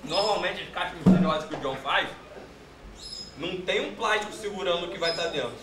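Quick joking man in a big room. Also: sits high, far from the mic. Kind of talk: casual banter. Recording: echoey room, off-mic, noisy.

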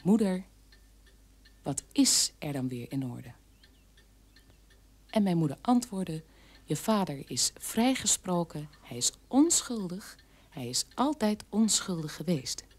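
A bitter grown daughter telling of her childhood in a radio drama. Her voice sits slightly low and moves in wide, lively swings. She is emphatic, pausing as she searches back. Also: slightly rough, heavily acted. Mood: tense, recalling. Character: bitter adult daughter of a Nazi camp guard, recounting childhood. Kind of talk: dramatic radio play monologue.

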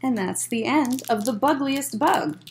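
A woman in her thirties reading a picture book aloud at a children's story time, expressive and deliberate, with explanatory asides. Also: high voice. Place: indoors, library room with bookshelves.

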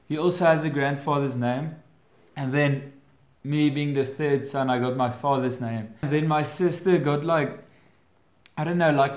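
A young man in his twenties with an Afrikaans accent, answering viewer questions in a casual home vlog, often pausing and restarting his phrases. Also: medium pitch, roomy sound. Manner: hesitant, restarts phrases with many fillers. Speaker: vlogger. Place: bedroom at home, indoors. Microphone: webcam at desk.

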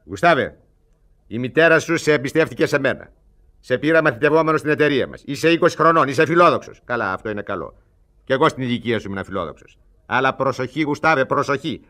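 An actor playing an older boss of thieves in a radio comedy, lecturing pompously and stammering in exasperation. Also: male voice, medium pitch, expressive, pushed and bright. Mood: pompous, instructing. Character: scheming, blustering older male thief, boss of bungling apprentices. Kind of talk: radio theatre comedy acting.

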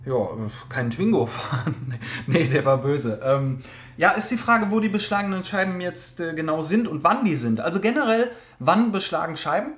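A man's voice: on the high side, animated, normal speed, a bit bright, echoey room. A man hosting a car care channel, casually answering viewer questions with frequent fillers and joking asides, recorded in a basement. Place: basement storage room.

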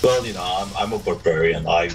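A man's voice: low voice, fairly quick, vocal fry, a crowd in the background. A man chatting casually while playing a co-op game, explaining things in an easygoing way. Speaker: gamer in co-op. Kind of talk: casual gameplay chat.

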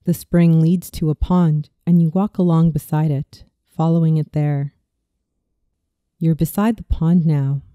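A speaker in their thirties reading a scripted guided visualization in a soothing, slow and deliberate way, as a meditation narrator. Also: mid-range voice, fairly even intonation, mellow, dry recording, studio quality. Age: 30s.

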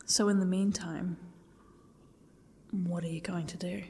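A woman acts out a defiant female prisoner in an audio roleplay. Her voice is breathy and husky with a gravelly crackle, and she talks in halting, sardonic bursts that often sink to a near whisper. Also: lowish voice, steady tone, mellow, full character voice. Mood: uneasy, grudging. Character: defiant, sardonic captive woman facing her ex's torture. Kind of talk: audio roleplay, one-sided dialogue.

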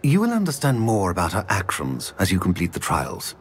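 An actor playing a wise old wizard portrait, formal and reassuring. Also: male voice, low-pitched, wildly swooping pitch, a little fast. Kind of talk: video game dialogue.

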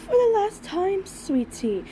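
A refined grown lady unicorn from a cartoon , voiced by a woman, stern and motherly. She speaks firmly, and the recording has clear noise behind it. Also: very high voice, big pitch swings, slow pace, full character voice. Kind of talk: fan comic dub acting.

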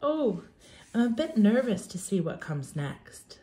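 A woman's voice: mid-range voice, big pitch swings, a little slow, warm tone. A young woman teacher reading aloud for young children in an emphatic, theatrical, sing-song way.

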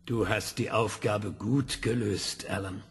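An actor playing a calm male scientist who questions a ship computer with patient, probing questions. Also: lowish voice, takes it slowly, husky, full character voice.

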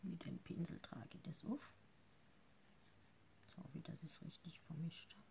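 A woman's voice: low voice, moderate pace, gravelly, warm tone, in a whisper. A woman in her fifties hosting a casual live makeup tutorial from her living room, chatty and a bit nervous, often trailing off into mumbles, heard through a webcam at desk distance. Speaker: makeup seller and live-stream host.

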